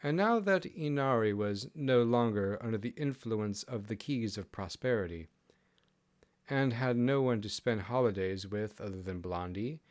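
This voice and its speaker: A man reading a story aloud as an audiobook narrator. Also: on the low side.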